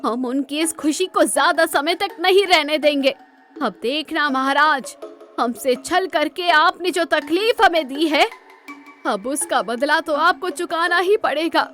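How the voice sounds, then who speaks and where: Woman acting a high-pitched, proud, bitter queen. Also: a little slow, fairly bright tone, soft background music. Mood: angry, vengeful. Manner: regal, sarcastic, dramatic. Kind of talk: cartoon storybook dialogue.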